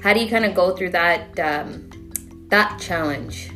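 A woman's voice: fairly low pitch, unhurried, a bit bright, faint music behind. A woman hosting a casual interview, asking friendly, chatty questions full of "like" and "you know". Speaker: interview host.

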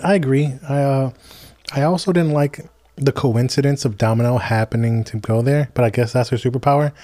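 A man's voice: medium pitch, steady tone, brisk, dark tone. A man co-hosting a casual movie podcast, laid-back and dry, with a teasing streak. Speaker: podcast co-host.

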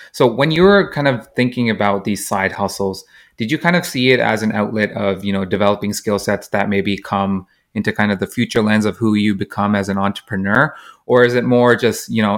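A man's voice: lowish voice, a bit bright. A man hosting a podcast, asking long, hedging questions full of fillers. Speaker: podcast host. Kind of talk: interview questions.

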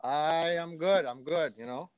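A man's voice: fairly high pitch, measured pace, a crowd in the background. A man from Jamaica chatting casually as a podcast guest, telling personal anecdotes in an easygoing way.

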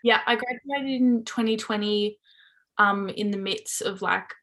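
A twenty-year-old woman with an Australian accent, chatting openly as a podcast guest. She fills her sentences with "like" and swears casually. Her pitch sits at an ordinary middle height. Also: a little slow, fairly bright tone. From Hobart, Tasmania. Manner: chatty, candid, frequent "like" fillers, swears casually. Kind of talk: casual chat.

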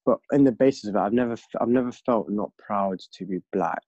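A man's voice: on the low side, brisk, mellow, slightly rough, dry recording. A young man from London with an English accent, a podcast guest reflecting earnestly in casual conversation. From London, England. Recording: dull lo-fi dry recording.

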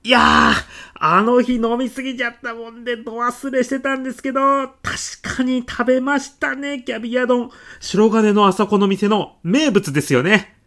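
A woman's voice: a little high, fairly even intonation, moderate pace, few pauses, a bit bright. A woman playing an obsequious junior sales rep in a comedy radio sketch, deferential and polite, bluffing shamelessly. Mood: gushing, bluffing. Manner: deferential, polite business talk, hedging then gushing.

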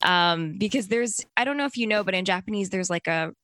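A woman's voice: medium pitch, brisk, a bit bright, no echo, clean studio sound. A young woman from Canada answering interview questions in a chatty, upbeat way with plenty of likes and ums. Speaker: interview guest.

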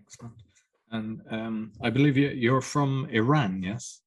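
A man's voice: mid-range voice, a little slow, slightly rough. A man hosting a podcast interview, asking brief casual questions. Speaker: podcast host.

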